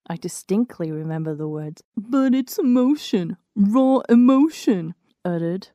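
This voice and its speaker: Woman narrator, wry storytelling, soft mellow tone, close dry recording. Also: on the low side, no echo. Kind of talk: first-person scripted fan fiction narration.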